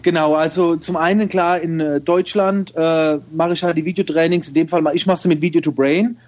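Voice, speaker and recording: A man's voice: fairly high pitch, steady tone, fairly quick, darkish tone. Chatty German man with dialect. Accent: regional German dialect. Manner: chatty, loose, many fillers and restarts. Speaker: guest, photo retoucher and video trainer. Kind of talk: casual interview talk.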